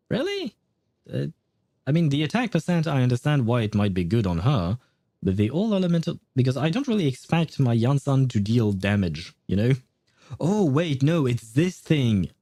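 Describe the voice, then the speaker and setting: A man's voice: mid-range voice, sing-song, moderate pace, warm tone, no room sound. A man livestreaming a game, chatting casually and thinking aloud in a hesitant, chuckly way. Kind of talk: casual livestream chat and commentary.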